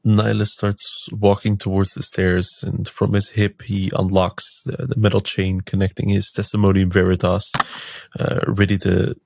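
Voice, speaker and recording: A man narrates his character's moves in a tabletop game. The voice is low and creaky, mellow in tone, and stays on a fairly flat line. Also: moderate pace. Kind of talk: describing his character's actions in third person.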